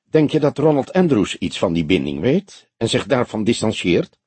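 A man's voice: lowish voice, sing-song, moderate pace, a little mellow, breathy edge, no echo. A man voicing a younger detective sidekick in an audiobook, earnest and unsure, with a peevish, questioning manner.

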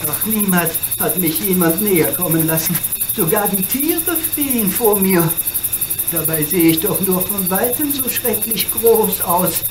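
An actor playing a lonely, courteous old make-believe giant in a German radio play, plaintive and deliberate. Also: medium pitch, expressive, noisy background, natural voice. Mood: grateful, melancholy.

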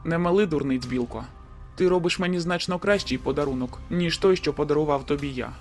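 A woman's voice: low voice, a little fast, a little mellow, soft background music, pitched below the performer's own voice, close to an everyday voice. A woman voicing a bold young male werewolf in an audiobook, commanding and teasing, with a firm, persuasive tone.

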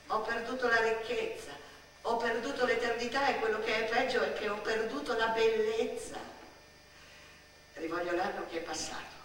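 A woman in a theatrical radio monologue, playing a dramatic, brooding little girl who speaks poetically in deliberate short phrases. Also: a little high, fairly bright tone, voice pushed up. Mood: indignant lament. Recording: echoey stage sound, distant, faint noise.

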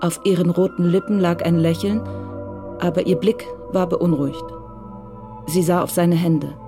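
A woman giving scripted, measured narration for a crime radio drama. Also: fairly low pitch, fairly even intonation. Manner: measured, composed storytelling. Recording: clear music underneath.

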